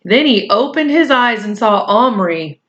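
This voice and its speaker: A woman reading a storybook aloud as narrator, in measured, deliberate phrases. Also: fairly high pitch, animated, a bit bright, highs cut off.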